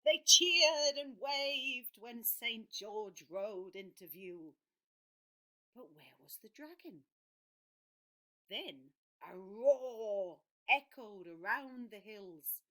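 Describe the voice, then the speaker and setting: A woman storyteller reading to children in a dramatic, deliberate and clearly phrased way. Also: fairly high pitch, animated, bright, forceful tone. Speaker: storyteller for an English school.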